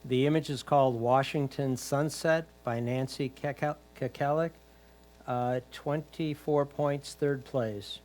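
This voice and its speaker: A man's voice: mid-range voice, steady tone, takes it slowly, a little mellow. A man reading out titles and scores at a competition in a formal, matter-of-fact way.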